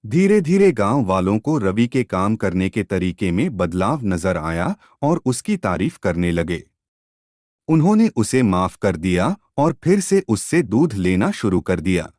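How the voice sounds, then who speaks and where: A man narrating a scripted moral story in a measured, instructive way. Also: lowish voice, sing-song, a little mellow, no room sound, clean studio sound.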